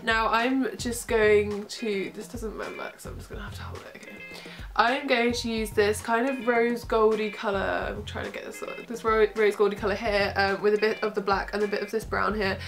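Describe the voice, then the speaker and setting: A woman's voice: medium pitch, normal speed, faint music behind. A young woman with a cold and a bunged-up voice, chatting casually through a makeup tutorial in her bedroom, close to the camera. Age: young adult. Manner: chatty, relaxed, giggly asides. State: congested from a cold. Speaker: makeup vlogger. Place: small bedroom, indoors. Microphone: camera close in front.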